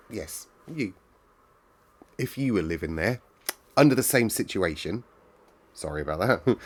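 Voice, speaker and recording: Guy with a lowish voice doing story time, talking to his listeners. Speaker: storyteller and host. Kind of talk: expressive read-aloud and casual chat to listeners.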